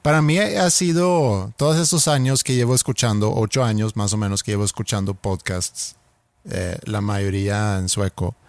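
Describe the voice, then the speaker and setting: A man whose first language is Swedish, telling a story in a relaxed podcast chat. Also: fairly low pitch, normal speed, dry recording.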